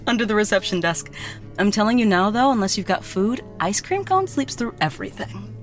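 A woman's voice: medium pitch, sing-song, a little fast, soft background music, close to an everyday voice. A woman playing a breezy, confident, helpful character in a naturalistic audio drama.

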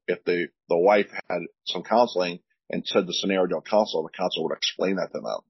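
A veteran man with a deep, husky voice talks earnestly on a podcast and often repeats himself while he searches for words. The sound is dry and a little dull. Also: no room sound. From United States. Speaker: veteran guest. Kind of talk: candid podcast talk.

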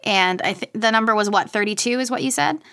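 A woman's voice: medium pitch, fast talker, bright, forceful tone, smooth. A woman interviewing as a podcast host in an informal, engaged and chatty conversational style.

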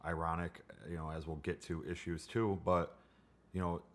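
A man's voice: low voice, little pitch movement, moderate pace. A man who is a guest in a casual chat, talking earnestly and repeating phrases for emphasis.

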